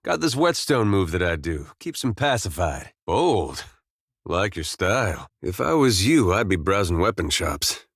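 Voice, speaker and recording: An actor playing an easygoing, loyal farmer turned soldier in a video game, with laid-back folksy phrasing and dry humour. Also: male voice, deep voice, big pitch swings, a little slow, husky, no room sound, heavily acted. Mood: wry, teasing. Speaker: video game companion character. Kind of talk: game dialogue and battle barks.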